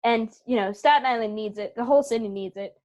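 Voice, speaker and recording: A woman's voice: on the high side, fast, darkish tone, lo-fi sound. A woman speaking as an interview guest on a video call, casually thinking aloud with little asides. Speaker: interview guest, theater company member.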